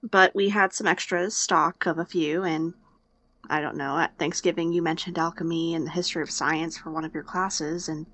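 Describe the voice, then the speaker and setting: A woman's voice: sits low, fairly even intonation, moderate pace, fairly bright tone, no echo. A woman acting in an audio drama as a sardonic young woman, blunt and teasing but fond underneath. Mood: casual, then concerned. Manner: dry, blunt, teasing sarcasm. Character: sardonic young woman, hurt but fondly teasing older-sister type.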